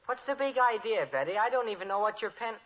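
A put-upon teenage boy complains in a vintage radio comedy. His pitch jumps about in a lively way, and the old recording is dull. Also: fast. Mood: protesting. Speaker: actor in a radio family sitcom. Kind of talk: 1950s radio sitcom acting.

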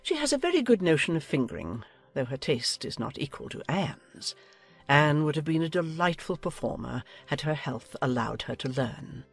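Imperious older aristocratic lady, played by a man, husky and pompous, animated pitch, period audiobook drama. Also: normal speed, soft background music, full character voice. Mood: condescending. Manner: pompous, pronouncing judgement.

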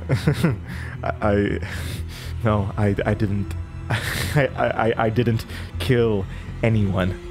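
Man acting a distraught, stammering suspect, loud music behind. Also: fairly low pitch. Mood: shaken, dawning dread. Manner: halting, stammering. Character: distraught, confused male amnesiac suspect under interrogation. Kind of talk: audio drama acting.

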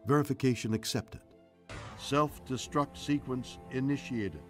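An actor playing a composed male spaceship computer in a game, with a measured, dramatic delivery. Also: medium pitch, fairly bright tone, lightly acted. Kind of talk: scripted game voice acting. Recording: music behind the voice.

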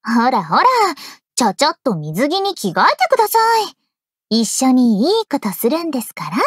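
A woman's voice: high-pitched, sing-song, normal speed, slightly rough, no room sound, clean studio sound, exaggerated voice. An actress voicing a sweet, teasing teenage girl in an anime game, with a polite, cutesy, coaxing manner. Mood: playful, teasing. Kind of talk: anime game character acting. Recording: voice over loud game music.

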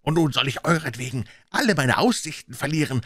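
Shrieking, furious blind pirate leader. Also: male voice, fairly high pitch, lively intonation, fairly quick, breathy edge, no room sound, pitched above the performer's own voice, heavily acted. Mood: scornful, wheedling. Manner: shouted commands and scornful cursing. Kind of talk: dramatic audiobook character reading.

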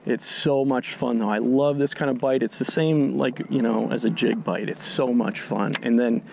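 A man's voice: medium pitch, fairly even intonation, normal speed, vocal fry, darkish tone, a little hiss or hum, rough recording. A man on a fishing boat outdoors, casually explaining his technique step by step, often restarting his sentences. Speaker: fishing channel host. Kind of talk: instructional fishing commentary.

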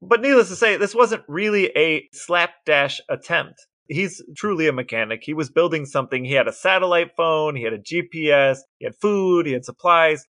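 A man co-hosting a podcast, telling a story in a lively, wry way in casual chat. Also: a little high, moderate pace, few pauses. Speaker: podcast co-host.

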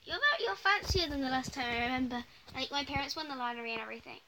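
A very noisy home recording has a woman acting out a stuck-up female friend in an amateur make-believe story. Her voice sits high. She talks in an indignant, dismissive, halting way, stuffed with filler words. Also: expressive. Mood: snooty, dismissive. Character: snooty female friend turned posh, dismissive dog-hater. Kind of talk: amateur improvised pretend-play story.

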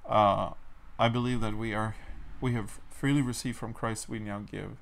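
Hesitant man with a Low German accent. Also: steady tone, normal speed, vocal fry, a little hiss or hum. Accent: Mennonite Low German. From Mexico. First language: Plautdietsch. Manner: hesitant, many fillers and restarts. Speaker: guest. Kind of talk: casual conversational interview. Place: small wood-paneled room indoors.

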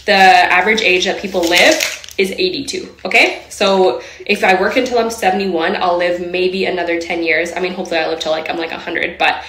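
A woman's voice: on the low side, steady tone, fairly quick, hardly any pauses, fairly bright tone, echoey room, poor audio. A woman in her thirties giving a casual, chatty rant in a video, swearing freely and laughing now and then. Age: 30s. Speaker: social seller in a played clip.

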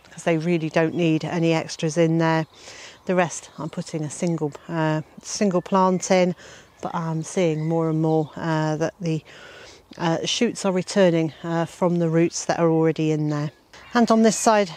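A woman in her sixties giving a casual tour of her vegetable garden, talking outdoors with many "uh" fillers and gentle chuckles. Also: on the low side. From Wales.

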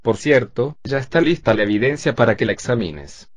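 A man's voice: medium pitch, lively intonation, a little fast, natural voice. A man playing a plain-spoken police detective in a crime drama, talking in a matter-of-fact way. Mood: businesslike. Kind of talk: scripted machinima crime drama dialogue. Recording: dull lo-fi sound, faint music.

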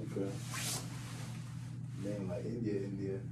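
A young man, a rapper in the studio, talking quietly and low-key between takes. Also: level tone, a little slow, very noisy. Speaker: rapper recording vocals. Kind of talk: casual studio chat. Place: small home recording studio, indoors. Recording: roomy, distant.

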